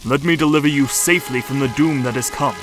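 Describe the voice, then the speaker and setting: A man's voice: medium pitch, fast, music in the background, lightly acted. A man playing a brooding, lovesick undersea ruler, speaking in florid, formal romantic declarations in a superhero drama. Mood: brooding longing. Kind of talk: scripted superhero audio drama.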